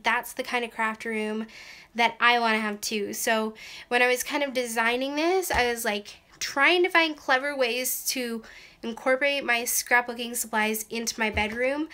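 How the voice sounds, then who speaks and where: Bright chatty Canadian woman. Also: on the high side. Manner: chatty, lots of "like" and "um". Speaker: craft vlogger. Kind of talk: casual chat to camera. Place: small bedroom craft room.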